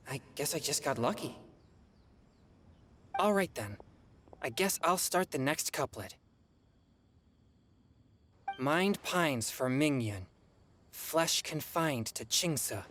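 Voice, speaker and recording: A modest young male adventurer from a video game. The delivery is earnest and slow, with expressive rises and falls in a middle register. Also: medium pitch, slight background noise. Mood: modest, focused. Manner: earnest, unassuming, thoughtful. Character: modest, earnest teenage boy adventurer reciting verse. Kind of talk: animated game voice acting. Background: music.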